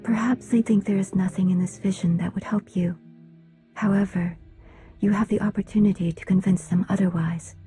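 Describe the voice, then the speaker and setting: A woman plays a solemn alien envoy in a game cutscene, her voice very dark and mellow. She is reserved and formal, measuring out each sentence with restraint. Music plays behind her. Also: medium pitch, fairly even intonation, normal speed, natural voice. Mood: grave, formal. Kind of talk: video game cutscene dialogue.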